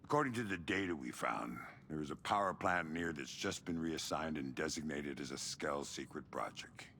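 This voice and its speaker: An actor playing a gruff, terse middle-aged special agent with a deep, gravelly voice in a video game cutscene. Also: male voice, animated, normal speed, full character voice. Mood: briefing, focused. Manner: terse, commanding. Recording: loud hiss and rain noise.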